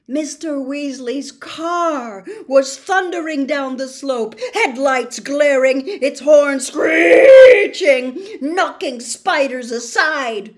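An older woman reads a story aloud with expressive drama. Her voice sits fairly high, and she takes her time, shaping each phrase deliberately. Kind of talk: expressive audiobook-style narration. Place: small bedroom, indoors.

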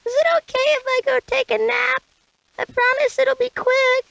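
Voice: very high voice, little pitch movement, normal speed, gravelly, a bit bright, no echo, exaggerated voice, low-quality recording. An actor voicing a small, eager, cheerful cartoon rat in exaggerated lines for a video game. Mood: earnest.

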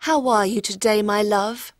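A man's voice: high-pitched, steady tone, normal speed, no room sound, studio quality, voice pushed up, natural voice. A man voicing a scheming, lovesick young queen in a storybook, with a pleading, dramatic delivery. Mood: tender, pleading. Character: scheming young queen, mournful, devoted to her secret lover. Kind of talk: storybook character voice.

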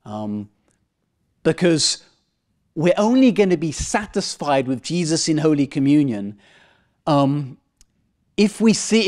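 Higher-voiced male priest preaching. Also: darkish tone. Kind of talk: sermon. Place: parish church, indoors. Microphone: lectern microphone at chest height.

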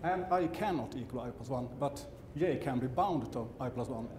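Middle-aged Swedish-accented man, conference speaker, dry and halting, recorded in an echoey hall over a steady hum. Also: mid-range voice. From Sweden. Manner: halting, self-correcting, dry asides. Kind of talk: technical conference talk. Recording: roomy hall sound with background hum.

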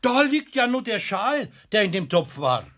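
A man's voice: high-pitched, sing-song, normal speed, a bit bright. A man playing a nosy, chatty mechanic with a Bavarian accent in a children's radio play, hesitant and insinuating. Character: nosy, chatty, fussy male mechanic friend.

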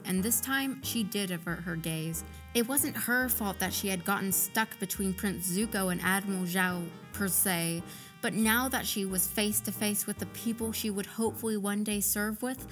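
A woman narrator reading scripted third-person fiction for an audio drama. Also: mid-range voice.

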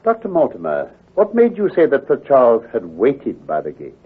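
An actor playing a shrewd, coolly sceptical detective, questioning with incisive authority in old-time radio drama style. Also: male voice, mid-range voice, huge pitch swings, normal speed. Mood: brisk questioning. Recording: muffled, husky old radio recording.

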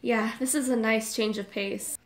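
Upbeat, kind high school girl played by a woman, higher voice, audio drama role. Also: fairly even intonation, moderate pace, lightly acted. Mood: relaxed, supportive. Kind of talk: amateur audio drama acting.

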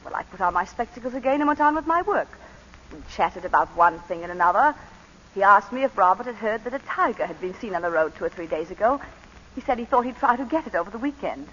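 Nervous planter's wife, breathy and lively, 1940s radio play. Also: female voice, medium pitch. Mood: composed. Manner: nervous, halting, then composed storytelling. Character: tense, frightened planter's wife, adult woman. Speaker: lead actress in radio drama. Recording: dull old radio broadcast.

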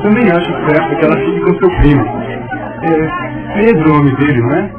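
Polite, earnest Brazilian male suitor played by an actor, higher-pitched, radio soap, narrow distant recording over music. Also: expressive, brisk, close to an everyday voice. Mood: friendly. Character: Vicente, polite, earnest male sports teacher courting a woman. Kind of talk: old radio soap acting.

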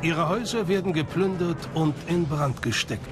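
A man's voice: fairly high pitch, normal speed. A man reading scripted documentary narration. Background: music.

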